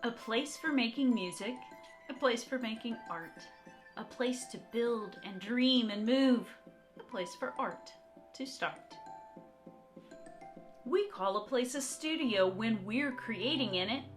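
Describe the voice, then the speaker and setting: A woman art teacher reading aloud and talking to young children in an encouraging, expressive, direct way. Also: fairly high pitch, slow pace, faint music behind. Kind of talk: children's read-aloud and talk to young kids.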